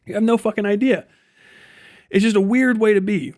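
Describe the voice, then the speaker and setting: High-pitched American man ranting casually. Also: steady tone, moderate pace, a little mellow, no echo, studio quality. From United States. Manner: profane, blunt, rambling. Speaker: livestream host. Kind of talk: casual ranting livestream commentary.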